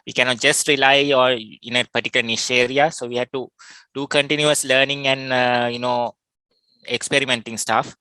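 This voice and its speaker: A Sri Lankan man presents an online technical workshop in a clear, forward voice. He explains informally and haltingly, and the sound is dry with very little room. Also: medium pitch, flat delivery, pushed and bright, no echo, rough recording. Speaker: workshop speaker, test automation specialist.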